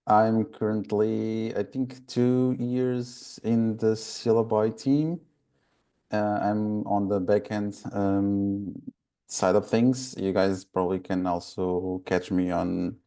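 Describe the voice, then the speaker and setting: A backend developer from Portugal introducing himself in a hesitant, halting way on a video call. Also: male voice, on the low side, dark tone. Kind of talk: casual self-introduction.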